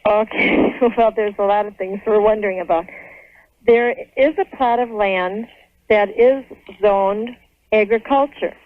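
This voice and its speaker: A woman's voice: medium pitch, measured pace, no echo. Female radio caller, American, conversational delivery, thin narrow telephone sound. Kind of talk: conversational phone call. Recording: narrow, tinny phone line.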